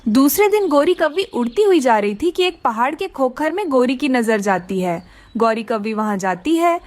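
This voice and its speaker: A woman's voice: high-pitched, very animated, normal speed, no echo. A woman narrating a scripted children's story.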